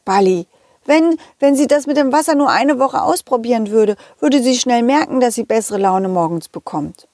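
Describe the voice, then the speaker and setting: Woman playing a lively, helpful dog hero. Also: fairly high pitch, normal speed, no echo, studio quality. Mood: eager, thoughtful. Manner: encouraging, eager, friendly. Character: confident, loyal, helpful male dog hero of children's tales.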